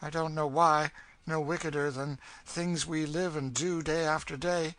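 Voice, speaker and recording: Man as a bitter, world-weary woman, raised pitch. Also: steady tone, a little slow, a bit bright. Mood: despairing. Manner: bitter, fatalistic, emphatic.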